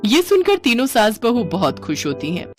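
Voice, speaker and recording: A woman narrates a scripted family story. Her voice is on the high side. Music plays underneath the narration. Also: wildly swooping pitch, normal speed.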